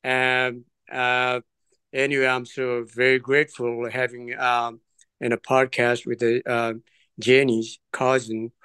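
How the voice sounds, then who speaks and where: A man's voice: medium pitch, flat delivery, slow pace, bright, forceful tone, clear, resonant tone, no room sound. A man, telling his life story as an interview guest in an earnest, digressive, hesitant way. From Nepal. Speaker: trekking guest.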